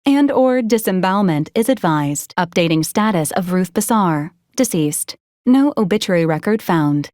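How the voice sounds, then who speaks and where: A woman voicing a polite, officious robot support unit in a video game, speaking in formal, clipped, deadpan announcements. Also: medium pitch, no echo, clean studio sound, natural voice. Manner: formal, clipped, programmed announcements in complete phrases.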